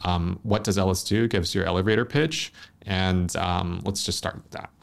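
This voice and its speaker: A man's voice: deep voice, steady tone, normal speed, creaky. A man hosting an interview in a studio, talking in an easygoing way with frequent filler words as he frames his questions. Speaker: podcast host.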